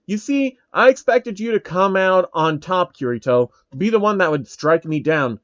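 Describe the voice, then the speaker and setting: Dude doing a grandiose game villain for a story, pompous speeches, slightly muffled mic. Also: male voice, high voice, lively intonation, normal speed, a bit bright, no echo, voice pushed up. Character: grandiose male game villain, scheming and theatrical.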